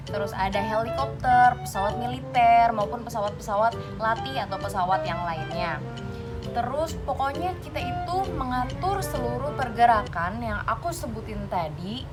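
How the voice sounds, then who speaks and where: A young woman in a casual explainer vlog, chatty, asking and answering her own questions. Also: on the high side. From Indonesia. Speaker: vlogger explaining air traffic control. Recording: loud music behind the voice.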